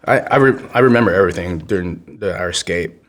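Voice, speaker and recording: Low-voiced man in his fifties, born in Vietnam; earnest, halting podcast guest. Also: a bit bright. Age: 50s. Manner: earnest storytelling with frequent restarts. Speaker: guest, congressional candidate. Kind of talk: podcast interview.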